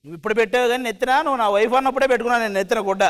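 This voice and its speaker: A man's voice: extremely high pitch, racing, heavy background noise. A man with a Telugu accent doing comic banter on a TV stage as a team leader. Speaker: comedian team leader on a TV dance show. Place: TV studio stage. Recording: very loud music behind the voice.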